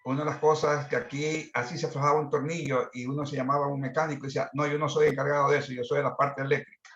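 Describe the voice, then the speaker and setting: A man in his mid-sixties with an Ecuadorian accent, recounting his work experience in a halting way. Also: medium pitch, level tone, fairly bright tone. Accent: Ecuadorian Spanish. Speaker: masterclass participant, management consultant. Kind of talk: introducing himself and sharing work experience. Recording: muffled, roomy online call audio.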